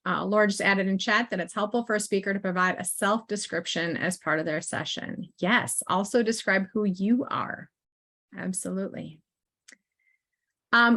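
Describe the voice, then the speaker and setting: A woman's voice: medium pitch, a bit bright. Conversational woman leading a webinar training. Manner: friendly and informal, with frequent fillers and asides. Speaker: assistive technology consultant presenting a training.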